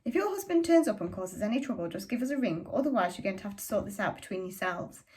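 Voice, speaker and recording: A woman's voice: mid-range voice, sing-song, fast talker, a little mellow, close to an everyday voice. Woman doing a businesslike policeman. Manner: matter-of-fact questioning. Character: calm, businesslike male policeman.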